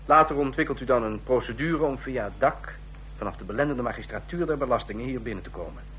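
An actor in a radio play playing a stern, condescending ambassador of a fascist state, speaking in formal, measured officialese. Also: male voice, mid-range voice, fairly bright tone, close to an everyday voice, low-quality recording. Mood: businesslike, commanding. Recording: clear hum and noise, old radio recording.

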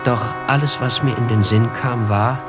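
An actor playing a disillusioned, reflective narrator, telling a literary story in a measured way in a radio drama. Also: male voice, mid-range voice, fairly even intonation, loud music behind the voice, natural voice. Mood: somber. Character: reflective male first-person narrator, disillusioned.

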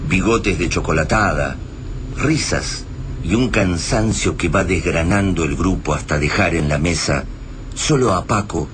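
A solemn Argentine man narrates a sci-fi radio play in a very low voice. His delivery is dramatic and literary, over clearly noisy ambience. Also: a little slow. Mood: solemn, suspenseful.

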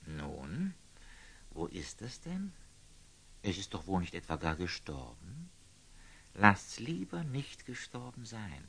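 An actor playing a humble, kindly old lay brother in a radio play, husky and gentle, speaking classical verse haltingly. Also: male voice, low-pitched, wildly swooping pitch, full character voice. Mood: cautious, earnest.

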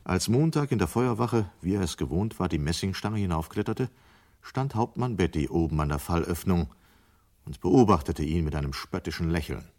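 A man reading scripted narration for a 1970s German radio drama in a measured, sober manner. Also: low-pitched, expressive, darkish tone.

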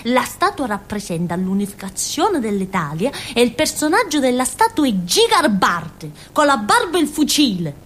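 An actress playing a naive, chatty village fool in a theatrical monologue, with a southern Italian accent and a rambling, giggly way of talking. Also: female voice, a little high, sing-song, moderate pace, hardly any pauses, a bit bright. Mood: wondering, earnest. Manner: rambling, giggly, with frequent interjections and tag questions.

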